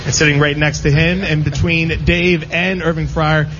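High-voiced male sports radio host, dull sound. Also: steady tone, moderate pace, a bit bright. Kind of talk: live sports radio show hosting. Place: live remote broadcast at a casino. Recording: dull lo-fi broadcast with faint background noise.

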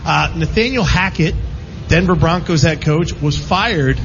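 Higher-voiced man hosting sports talk, dull sound. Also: unhurried, vocal fry, a bit bright. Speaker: sports talk show host. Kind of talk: casual sports chat. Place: live radio broadcast at a casino. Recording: dull lo-fi live pickup, faint noise.

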